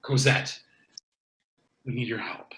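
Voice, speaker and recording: A man's voice: medium pitch, fairly even intonation, slow, a bit bright. A man playing a pragmatic, bossy video store clerk with an emphatic, mock-serious manner in a comedy table read. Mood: urgent. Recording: echoey room.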